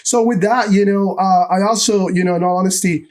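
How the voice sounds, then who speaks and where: A man with a high voice hosts a casual podcast conversation. He comes from Ecuador and talks in an effusive, informal way, turning between his guest and his listeners. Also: steady tone, fairly quick. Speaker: podcast host. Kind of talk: enthusiastic casual chat.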